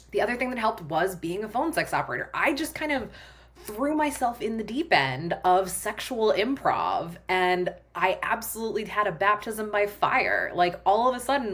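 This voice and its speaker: A woman's voice: mid-range voice, a bit bright. A woman guest, a sex and relationship coach, talking frankly and animatedly in a casual podcast conversation, swearing freely.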